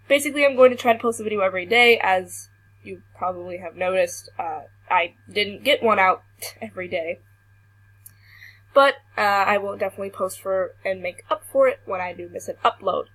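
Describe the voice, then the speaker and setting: A woman's voice: medium pitch, a bit bright, slightly rough, poor audio. A young woman who is sick and losing her voice, reading a creepy story aloud with some stumbles, then chatting casually to her viewers. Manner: halting reading that stumbles and restarts words. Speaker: YouTuber.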